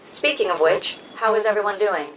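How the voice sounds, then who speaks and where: An actress playing a courteous, helpful community health robot in an audio drama, speaking precisely and formally. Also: female voice, mid-range voice, animated, fairly quick, a bit bright, a little hiss or hum, lightly acted, low-quality recording. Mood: friendly, helpful. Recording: music under the voice.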